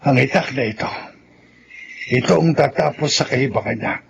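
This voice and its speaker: A man's voice: mid-range voice, normal speed, gravelly, noisy background, natural voice. A man playing a defiant, vengeful grandfather in a horror radio drama, speaking grimly and emphatically. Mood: grim resolve. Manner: grim, emphatic. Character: defiant, vengeful old grandfather sharpening a blade.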